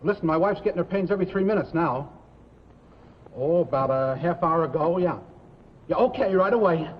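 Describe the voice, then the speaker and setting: Actor as a high-voiced, nervous expectant father. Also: lively intonation, normal speed, natural voice. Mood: anxious, excited. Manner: jittery, eager, apologetic. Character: nervous, hurried young expectant father, friendly and polite. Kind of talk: 1950s television drama acting. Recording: noisy, echoey, dull 1950s TV soundtrack.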